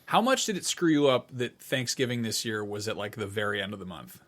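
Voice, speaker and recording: A man's voice: lowish voice, big pitch swings, brisk, a bit bright. A middle-aged man co-hosting a podcast in casual conversation, informal and wry, with the odd mild swear. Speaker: podcast co-host.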